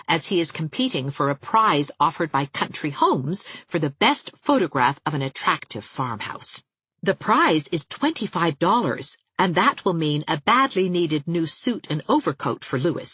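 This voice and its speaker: Expressive low-voiced woman narrator, phone-like sound. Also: moderate pace, breathy edge, dry recording. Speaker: audiobook narrator. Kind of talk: expressive scripted story narration. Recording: narrow, phone-like audiobook sound.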